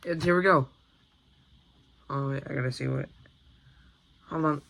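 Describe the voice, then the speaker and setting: A man hosting a casual guessing-game vlog, informal and excitable. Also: medium pitch, measured pace, vocal fry. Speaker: video host.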